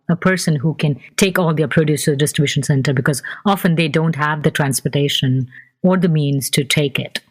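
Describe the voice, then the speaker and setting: A woman hosting a podcast, asking questions in an inquisitive, affirming way. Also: deep voice. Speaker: podcast host. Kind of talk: interviewing guest.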